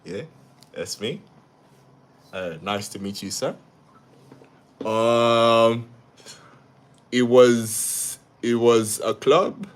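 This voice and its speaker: A man's voice: medium pitch, very slow, pauses often. A young man from Uganda, hosting a live stream where he talks through social issues and reads viewer comments, often repeating words and restarting his sentences. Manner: asks "yeah?" after each point. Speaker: livestream host.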